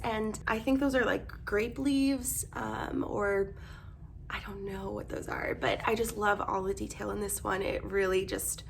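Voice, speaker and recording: A young woman vlogger chatting casually through an unboxing, bubbly and gushing, her voice hoarse as she loses it. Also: on the high side, a little hiss or hum.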